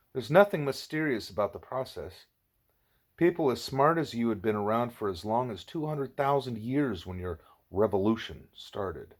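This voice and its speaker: A man reading the part of a wise, patient gorilla teacher in an audiobook, explaining in a measured, didactic way. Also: medium pitch, natural voice.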